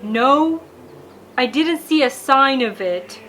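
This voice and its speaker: A woman's voice: high-pitched, animated, takes it slowly, a bit bright, slight background noise, full character voice. A woman voicing a worried, earnest father in a children's bedtime story. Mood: dejected.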